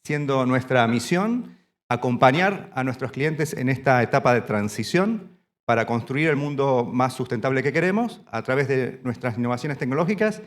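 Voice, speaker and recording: A man from Argentina giving a standing conference presentation in a hall, halting and often restarting his phrases. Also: medium pitch. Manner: speaks standing up. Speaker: panel speaker.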